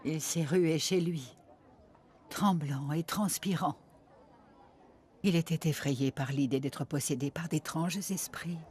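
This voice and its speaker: An actor playing an earnest, devout witness from the Prophet's household, giving a sober recollection in animated-documentary narration. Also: male voice, a little high, takes it slowly, pauses often, slight background noise. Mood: uneasy, earnest. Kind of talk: dramatized first-person narration for an animated documentary.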